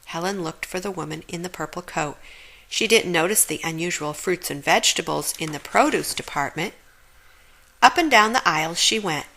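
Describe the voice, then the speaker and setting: Female storyteller for kids' read-aloud, bright and crisp-edged tone, expressive narration. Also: on the low side, normal speed. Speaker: storyteller reading a children's picture book.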